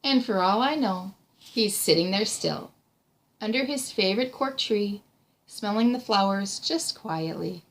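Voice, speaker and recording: A woman's voice: medium pitch, animated, measured pace, poor audio. A woman reads a storybook aloud and discusses it in an encouraging, explanatory way. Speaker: reading tutor reading aloud to a child. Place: small room indoors.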